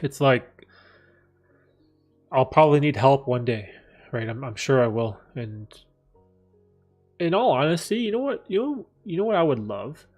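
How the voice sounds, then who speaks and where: A man at a mid pitch talks loosely to his viewers, circling back on himself and padding his thoughts with fillers. He sits in a room with a bookcase behind him, and faint music hums under his voice. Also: lively intonation, measured pace, long pauses, darkish tone. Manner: rambling, many fillers and restarts. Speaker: livestream host answering viewer questions. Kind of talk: casual livestream Q&A.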